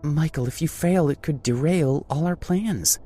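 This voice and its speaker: An anxious, protective young sister who begs her brother to back down, as a man voices her. The voice is lifted well above his own, soft and breathy, with pleading rises. Faint music plays behind. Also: on the high side, a little slow, voice pushed up. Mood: worried, pleading.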